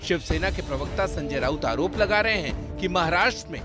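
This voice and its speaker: Male news narrator, fairly high voice, scripted delivery, strong music bed. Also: lively intonation, a little fast. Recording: voice-over under prominent music.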